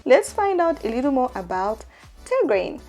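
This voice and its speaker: A woman's voice: sits high, very animated, normal speed, mellow, faint music behind. A woman hosting an interview, introducing her guest and asking friendly questions. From Zambia. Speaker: interviewer, show host.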